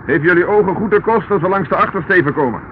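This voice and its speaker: An actor playing a composed, shrewd police inspector in a Dutch radio play, brisk and authoritative with dry irony. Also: male voice, sits high, close to an everyday voice. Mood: alert, commanding. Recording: muffled, noisy old radio play recording.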